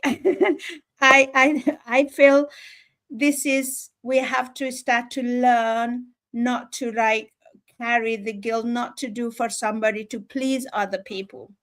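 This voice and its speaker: A woman from Nepal with a Nepali accent, giving an informal motivational talk in an earnest, emphatic, halting way, often repeating phrases. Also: a little high. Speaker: livestream speaker.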